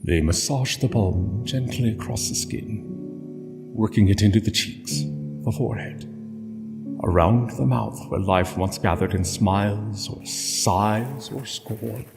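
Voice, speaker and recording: A man narrating a literary story solemnly, in measured short phrases with dramatic pauses. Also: lowish voice, creaky. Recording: long reverb, music bed. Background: music.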